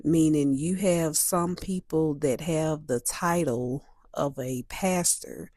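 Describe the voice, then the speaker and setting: A woman hosting a solo talk, a little stuffy and hoarse from a cold, speaking in a measured way that repeats and restates her points. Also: deep voice, warm tone, no room sound, studio quality. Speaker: host.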